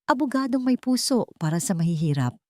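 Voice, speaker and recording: A woman's voice: fairly high pitch, sing-song, fairly quick, mellow, no room sound, studio quality, voice pushed up. A woman voicing a cold, mocking, scheming uncle, the villain of a dramatic story, with menacing murmurs.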